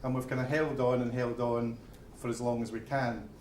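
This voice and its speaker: A man's voice: medium pitch, level tone, moderate pace, a little mellow, echoey room, slight background noise. A man with a Glasgow accent, a building society manager explaining things plainly to members at an event, often restarting his sentences. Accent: Glaswegian. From Scotland. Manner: plain-spoken, explains step by step with repeated openers. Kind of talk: presentation and audience Q&A. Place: event venue with an audience.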